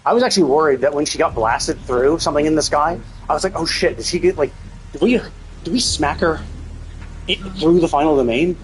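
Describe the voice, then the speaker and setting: A man's voice: fairly high pitch, a little fast, a little hiss or hum, rough recording. A man giving casual, blunt commentary as a live game streamer, talking in a sweary, venting way.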